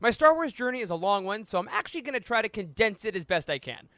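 An enthusiastic male podcast host with a high, bright voice. He speaks casually and playfully, and the sound is close and dry. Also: big pitch swings, fast talker, no echo. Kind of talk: casual podcast hosting and reading out a listener's letter.

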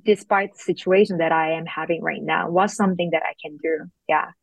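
A woman's voice: deep voice, steady tone, a little fast, gravelly, no echo. A young woman from South Korea, a guest in an interview, speaking thoughtfully and reflectively with frequent fillers. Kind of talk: interview conversation.

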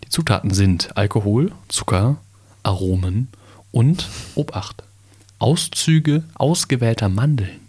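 A young man co-hosting a casual podcast, slowly and haltingly reading a bottle label aloud with many fillers and playful asides. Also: mid-range voice, animated, a little mellow, breathy edge. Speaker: podcast co-host.

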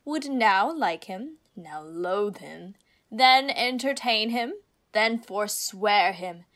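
A woman acting in a Shakespearean radio play as a witty young heroine disguised as a teasing youth, with a deliberate delivery. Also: medium pitch, expressive, a bit bright. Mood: mocking, playful.

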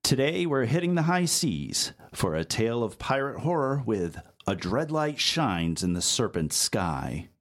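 A man's voice: medium pitch, big pitch swings, a little slow, hardly any pauses. A man hosting a podcast with a theatrical scripted introduction and playful pirate flourishes. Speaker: podcast host.

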